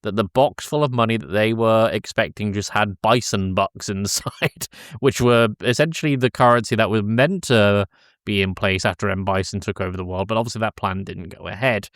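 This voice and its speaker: A man with a fairly low voice talks casually as a podcast co-host. He tells things in a jokey, loose storytelling manner. The recording is dry and close. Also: moderate pace, a bit bright, no echo. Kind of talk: casual film recap chat.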